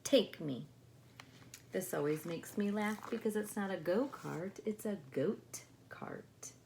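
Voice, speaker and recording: Expressive woman reading a storybook, slow paced. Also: medium pitch, gravelly. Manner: expressive, explanatory. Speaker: storybook reader. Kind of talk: read-aloud for children with casual asides. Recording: faint background noise.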